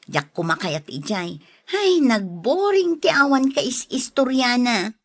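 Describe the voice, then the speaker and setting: A woman's voice: on the high side, very animated, measured pace, full character voice. A woman playing a sociable neighbor in an Ilocano audio drama, chatty and good-humored, with an Ilocano accent. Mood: gentle persuading.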